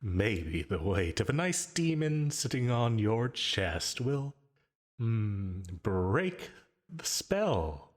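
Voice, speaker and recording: A man's voice: fairly low pitch, big pitch swings, exaggerated voice. A man voicing a possessive, gleefully menacing sleep paralysis demon in an ASMR audio roleplay, taunting the listener. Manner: slow, drawn-out taunting with dark chuckles. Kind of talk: ASMR yandere roleplay, dramatic monologue.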